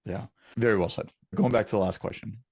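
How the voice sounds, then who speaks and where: A man's voice: lowish voice, fairly even intonation, fast, creaky, a little mellow, breathy edge, dry recording, muffled recording. A man hosting a casual business interview, talking in an encouraging, affirming way. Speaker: interview host, company founder.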